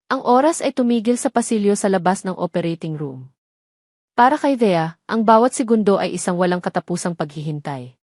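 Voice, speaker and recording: A woman's voice: mid-range voice, a little mellow, no echo. Woman narrator, solemn dramatic storytelling, dry recording.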